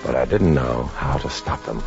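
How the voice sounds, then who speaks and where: A man's voice: very deep voice, animated, normal speed, creaky, loud music behind the voice, heavily acted. An actor playing a defiant, sardonic undercover man with a dry, clipped manner in a 1950s radio drama. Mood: grave, narrating. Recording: noisy, dull 1950s radio broadcast.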